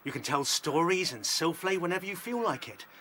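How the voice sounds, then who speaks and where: A man's voice: on the high side, expressive, fairly quick, pushed and bright, slight background noise. An actor playing a bold, determined male rabbit officer in a radio drama, assertive and persuasive. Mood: resolute, persuasive.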